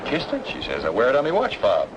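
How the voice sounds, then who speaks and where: An actor playing a charming, smooth-talking man with a playful, teasing, theatrical manner in an old radio noir drama. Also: mid-range voice, huge pitch swings, fast, highs cut off, noisy background. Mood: teasing storytelling. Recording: vintage radio drama, music behind.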